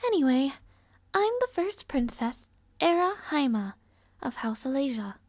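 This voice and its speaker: A woman's voice: high-pitched, very animated, unhurried, no echo. A woman playing a rude, arrogant but somewhat shy young princess in an audio roleplay, speaking in a formal, languid, world-weary way. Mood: haughty, dismissive. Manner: haughty, languid, sighing, formal wording. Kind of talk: scripted audio roleplay acting, addressing the listener. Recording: close, dry, no ambience.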